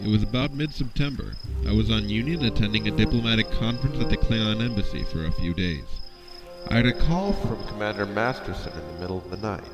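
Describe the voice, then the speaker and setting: An actor playing a stern, skeptical starfleet admiral who speaks in a dry, pointed, authoritative way. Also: male voice, on the low side, expressive, fast, lightly acted, poor audio. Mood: recounting. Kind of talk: fan audio drama acting. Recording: music score underneath.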